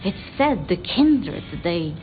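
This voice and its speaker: A woman voicing a timid, bookish, deferential vampire scholar in video game dialogue, speaking haltingly and apologetically. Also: fairly low pitch, very animated, phone-quality sound. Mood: hesitant curiosity. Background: background music.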